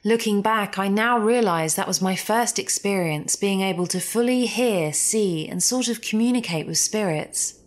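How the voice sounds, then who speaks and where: A woman's voice: medium pitch, normal speed, hardly any pauses. A woman reading a first-person story as a scripted narrator. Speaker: narrator reading listener stories.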